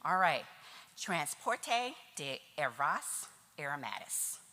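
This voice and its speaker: A woman's voice: sits low, sing-song, slow pace, sharp tone. A woman giving a chatty, nervous talk to an audience at a church tea event. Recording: hall reverb.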